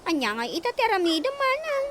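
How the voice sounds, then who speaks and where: A fretful young village woman exclaims her way through every worry, as an actress plays her. Her voice sits high and swings widely in pitch. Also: fast. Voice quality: excitable, exclaiming. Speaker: villager in a radio comedy drama. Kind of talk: radio drama acting.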